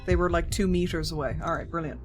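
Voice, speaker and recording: A woman's voice: on the low side, fairly even intonation, fast talker. Girl gaming on stream, chatty and wry, game music playing behind her. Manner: chatty, wry, frequent self-corrections. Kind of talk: casual gameplay commentary, reading chat.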